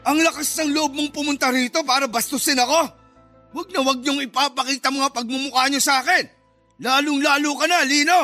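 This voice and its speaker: A man's voice: extremely high pitch, fairly even intonation, brisk, pushed and bright, no room sound, soft background music. An actor playing an angry, abusive older father, indignant and threatening, in a radio drama. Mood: furious, threatening.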